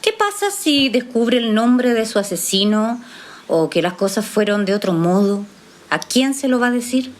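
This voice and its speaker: Measured, serious woman doctor played by an actress. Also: a little high, animated, lightly acted. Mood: skeptical, probing. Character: Celeste, skeptical, earnest, resolute woman doctor. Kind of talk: audio drama acting.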